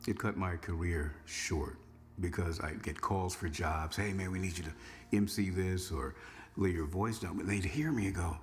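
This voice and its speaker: A man's voice: low voice, normal speed, soft background music. A man in his sixties, a former radio DJ, telling his story casually in an interview. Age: 60s. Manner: relaxed, reminiscing, conversational. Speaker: former radio news reader and DJ.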